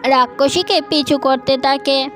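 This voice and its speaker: A woman's voice: high voice, fairly even intonation, measured pace, soft background music. A woman reading scripted narration for a children's cartoon story.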